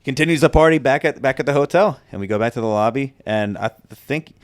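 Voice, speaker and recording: A man hosting a podcast, telling stories in animated casual chat with lots of fillers. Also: mid-range voice, quick pace, dry recording. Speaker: podcast host.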